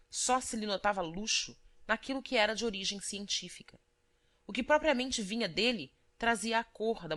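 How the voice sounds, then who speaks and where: A woman reading a literary audiobook as narrator, in a formal, expressive manner. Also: medium pitch.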